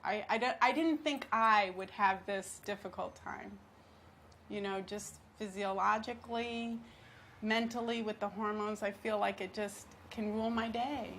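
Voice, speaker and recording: A woman's voice: mid-range voice, fairly even intonation, unhurried, fairly bright tone, slight background noise. A middle-aged woman in an interview, upbeat and laughing often as she reflects. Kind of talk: personal reflection.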